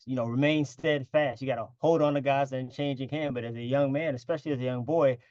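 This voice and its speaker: A man's voice: medium pitch, steady tone, a little mellow, no echo. Earnest middle-aged man on a muffled line. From United States. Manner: earnest, measured, with fillers and repeats. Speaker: podcast guest. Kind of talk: casual interview.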